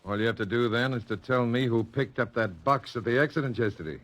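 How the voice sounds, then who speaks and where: A man's voice: medium pitch, fast talker, fairly bright tone, natural voice. A man playing a shrewd, menacing crime boss in a 1940s radio drama, curt and hard-boiled. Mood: threatening. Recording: 1940s radio broadcast recording.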